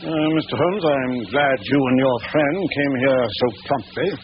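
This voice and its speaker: Man as pompous English country squire, complaining and fussy; mid-pitched, old radio play with muffled sound. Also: moderate pace, music in the background, close to an everyday voice. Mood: relieved. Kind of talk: 1940s radio drama acting. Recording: muffled noisy 1940s radio recording.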